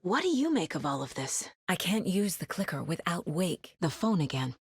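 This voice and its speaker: A woman's voice: on the low side, very animated, moderate pace, breathy edge, no room sound, clean studio sound, full character voice. A woman playing a determined, guarded investigator in a horror video game, earnest and terse in a naturalistic delivery. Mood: focused, musing.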